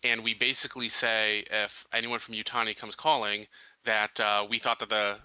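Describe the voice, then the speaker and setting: A man's voice: fairly low pitch, little pitch movement, moderate pace, bright, forceful tone, clear, resonant tone, no room sound, low-quality recording. A man playing a tabletop roleplaying game, talking through plans in a casual, conversational way. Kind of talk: casual tabletop planning chat.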